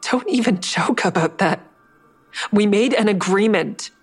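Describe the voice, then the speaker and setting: A woman's voice: mid-range voice, measured pace, husky, no echo, faint music behind. An actress playing a guarded, stressed woman investigator who also narrates in a first-person audio drama. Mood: exasperated, pleading. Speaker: narrator and lead character.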